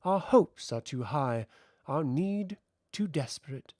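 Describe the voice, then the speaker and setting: A man's voice: fairly high pitch, expressive, slow pace, a little mellow, no echo, studio quality. A man voicing a haughty, very tall fairy queen, imperious, cold and mocking, in a fantasy audiobook. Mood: uneasy.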